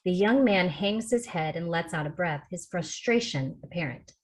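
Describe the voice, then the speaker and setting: A woman's voice: on the low side, expressive, moderate pace, darkish tone. A woman reading scripted screenplay narration as the stage-direction reader on a video call.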